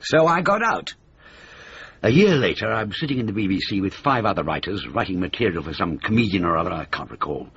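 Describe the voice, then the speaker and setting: An actor playing a jaded ageing British comedy writer in a radio drama, wry, wordy and self-pitying, with a husky voice. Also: male voice, low-pitched, big pitch swings, brisk, fairly bright tone, highs cut off, slight background noise. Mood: wry, rueful.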